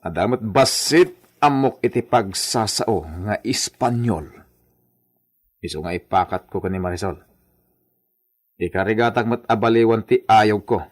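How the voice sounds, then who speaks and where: An actor with a Filipino accent playing a bossy, pompous young master who poses as Spanish gentry in a radio drama. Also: male voice, on the low side, measured pace. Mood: scheming, smug. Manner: pompous, commanding, peppered with Spanish words.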